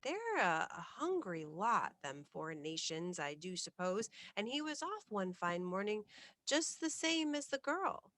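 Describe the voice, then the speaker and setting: A woman's voice: mid-range voice, sing-song, measured pace, a bit bright, no echo. Woman voicing a fussy, gossipy older housekeeper with homely rustic phrasing. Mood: gossipy, musing. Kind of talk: storybook character voice in a narrated reading.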